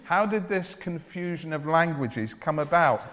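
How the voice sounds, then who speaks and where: A man preaching a church sermon in an earnest, deliberate way, with declarative phrasing. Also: fairly high pitch, lively intonation, fairly bright tone. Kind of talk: evangelical church sermon with Bible reading and prayer. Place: large church or hall, speaking to a congregation. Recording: reverberant hall, long echo.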